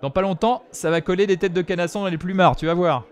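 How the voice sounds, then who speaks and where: A man playing an excitable bar regular who chatters in slang, in a French audio drama. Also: sits high, fast, clear, resonant tone, natural voice. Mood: excited, gossipy.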